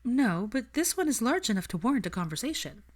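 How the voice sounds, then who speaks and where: A woman's voice: on the high side, big pitch swings, brisk, pitched above the performer's own voice. A woman voicing a determined, frank young witch in an audiobook-style story, articulate and earnest, with a teasing edge. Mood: frank, decided.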